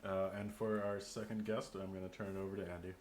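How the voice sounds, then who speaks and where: A man's voice: on the low side, little pitch movement, quick pace, a little mellow. A man hosting a casual podcast discussion, thinking aloud as he builds up long questions. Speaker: podcast host. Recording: clear background noise.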